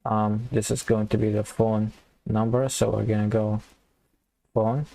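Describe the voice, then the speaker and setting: A man giving a casual coding tutorial, thinking aloud and explaining step by step in an informal, halting way. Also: on the low side, level tone, gravelly, mellow. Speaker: programming tutorial instructor.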